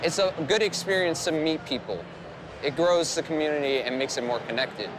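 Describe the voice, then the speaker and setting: A man's voice: a little high, brisk. A man in his late teens, a gaming fan and streamer, giving casual interview answers. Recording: noisy urban event ambience. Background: clear background noise.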